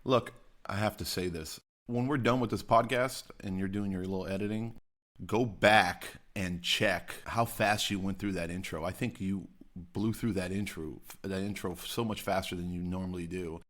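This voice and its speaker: Lowish voice guy co-hosting, blunt, likes calling people out. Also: steady tone, moderate pace, fairly bright tone. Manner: blunt teasing ribber. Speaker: podcast co-host. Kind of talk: casual podcast banter.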